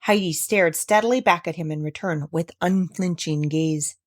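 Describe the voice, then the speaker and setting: A woman narrating a scripted children's story in an expressive, careful storytelling manner. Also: on the low side, normal speed, no room sound, clean studio sound. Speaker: audiobook reader.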